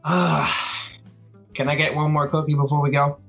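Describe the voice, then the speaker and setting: A man's voice: fairly high pitch, flat delivery, moderate pace, a bit bright. Casual male tabletop player over music. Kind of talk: casual in-game chat.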